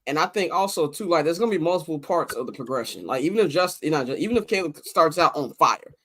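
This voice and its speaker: High-voiced man in loose sports chat. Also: quick pace. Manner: animated, acts out what others said; slangy, loose and conversational. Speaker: podcast co-host.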